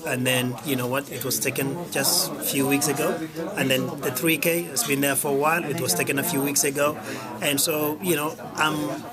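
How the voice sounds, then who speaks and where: A man's voice: fairly high pitch, little pitch movement, moderate pace, over loud music. A man in his late thirties, an athlete being interviewed, talking earnestly and rambling with many fillers. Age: 30s. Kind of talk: casual interview. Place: outdoor event before a race. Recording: loud crowd noise behind.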